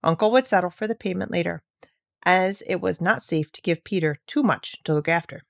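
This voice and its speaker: A woman's voice: fairly low pitch, fairly quick, vocal fry, no room sound, studio quality. A woman narrating a children's classic aloud as a scripted reading, with careful storytelling phrasing. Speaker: narrator, reader.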